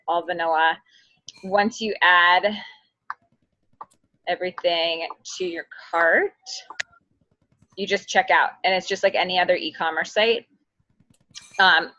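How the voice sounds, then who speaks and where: A woman's voice: lowish voice, sharp tone, dry recording, dull audio. A woman coaching a new recruit over a video call, upbeat as she walks him through an ordering site step by step. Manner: upbeat, instructive. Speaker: business coach. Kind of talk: casual video call chat and walkthrough.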